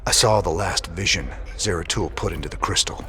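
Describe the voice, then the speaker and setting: An actor playing a gruff, world-weary space rebel commander in a video game, terse. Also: male voice, deep voice, a little fast, bright, forceful tone. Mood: grave, searching. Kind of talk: video game cutscene acting. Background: music.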